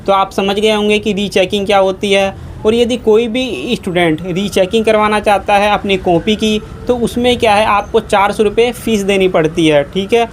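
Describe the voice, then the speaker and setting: A man giving a methodical, repetitive step-by-step explainer to students as an educational YouTuber. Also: sits high.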